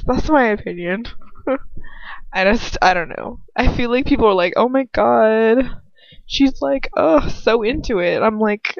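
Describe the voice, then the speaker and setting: A woman's voice: medium pitch, creaky, no room sound. A woman reading fan fiction aloud as an amateur, with casual, self-conscious asides in an informal, chatty manner. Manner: informal, self-conscious, giggly, swearing, hedging with "like". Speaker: amateur audiobook reader. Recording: dull lo-fi home recording.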